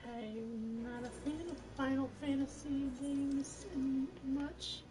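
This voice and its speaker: A woman's voice: high voice, fairly even intonation, takes it slowly, rough recording. A woman chatting casually alongside a game stream in a matter-of-fact way. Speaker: stream companion. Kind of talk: casual chat.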